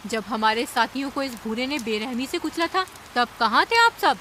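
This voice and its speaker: A woman's voice: high-pitched, expressive, normal speed, bright, forceful tone, a little hiss or hum. A woman voicing emphatic, dramatic female animal characters in a Hindi cartoon, a stern ant queen among them. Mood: stern, commanding.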